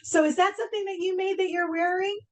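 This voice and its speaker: Female interviewer from Argentina, Spanish as first language, high-pitched, informal conversational delivery. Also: steady tone, quick pace. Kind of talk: casual interview chat.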